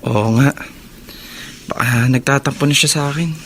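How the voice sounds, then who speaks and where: Man acting a creaky-voiced, devoted Filipino older brother. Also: mid-range voice, normal speed, a bit bright, a little hiss or hum, lightly acted. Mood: tender, reassuring. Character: devoted, burdened older brother and tender boyfriend. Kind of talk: Filipino radio drama acting. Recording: music under the voice.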